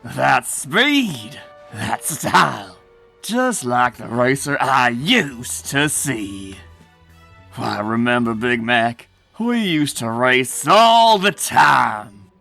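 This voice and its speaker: Proud, grudge-holding cartoon stallion played by a man; folksy, slow-paced, gravelly yet bright, music underneath. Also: medium pitch, big pitch swings, full character voice. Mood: smug, nostalgic. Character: proud, bitter male pony racer with old grudge. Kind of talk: comic dub character acting.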